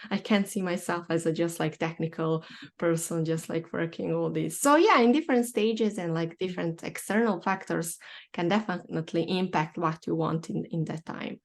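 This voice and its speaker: A young woman from Bosnia hosting a podcast chat in English with a Bosnian accent, speaking haltingly with many fillers and restarts. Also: fairly low pitch, vocal fry. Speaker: podcast host. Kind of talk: casual online chat.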